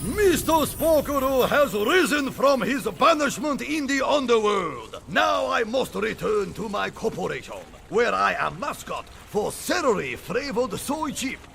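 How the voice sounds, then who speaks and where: An excitable little game mascot, very high-pitched and swooping wildly. He proclaims everything pompously in slow, stilted English, with a creaky edge. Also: faint music behind, heavily acted. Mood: grand explaining. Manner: bombastic, over-enthusiastic, stilted phrasing. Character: excitable Japanese mascot creature guide, pompously dramatic, broken English. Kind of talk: video game cartoon voice acting.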